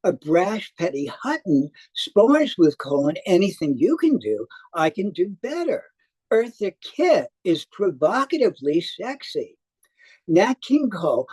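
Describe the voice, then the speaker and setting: Slow, lively man reading a review. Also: sits high, husky, no echo, low-quality recording. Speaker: theater reviewer. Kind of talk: scripted review read aloud.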